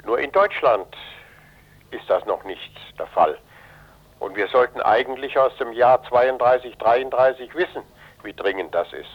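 An older man answering in a political interview, speaking in a deliberate, halting way. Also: medium pitch, expressive. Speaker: interviewee, author. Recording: dull, band-limited radio interview recording.

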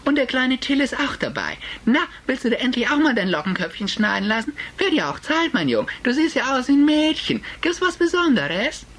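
Guy doing a high shrill gossipy hairdresser lady, nosy and gushy, a bit loud. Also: big pitch swings, fairly quick, hardly any pauses, exaggerated voice. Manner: nosy, cloying, gushing. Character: gossipy middle-aged female village hairdresser.

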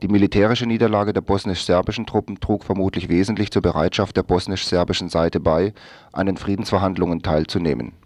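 Low-voiced man reading a news script. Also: fairly even intonation, fast, darkish tone, no echo. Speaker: radio news presenter.

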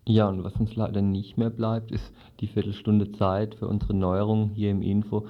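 Male radio presenter, low pitch, little intonation, casual improvised talk, dull lo-fi recording. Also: level tone, normal speed. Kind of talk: informal live programme sign-off.

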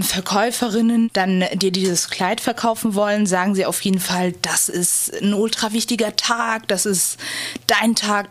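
A woman giving a casual, colloquial spoken account, slipping into quoted dialogue as she goes. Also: medium pitch.

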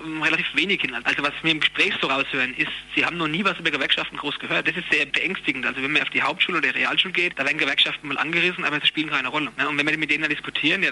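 Male interviewee, colloquial explainer, very sharp forceful tone with a rough husky edge. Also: fairly high pitch, low-quality recording. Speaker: trade union youth secretary, interviewee. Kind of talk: spontaneous spoken interview.

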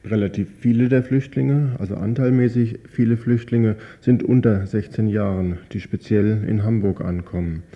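A man's voice: on the low side, steady tone, normal speed, hardly any pauses. A man acting as interviewer, putting questions and giving brief acknowledgements. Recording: dull lo-fi radio recording.

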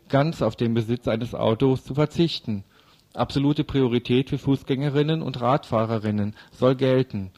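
Male radio presenter with a mid-pitched voice. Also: a little mellow. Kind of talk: scripted news commentary.

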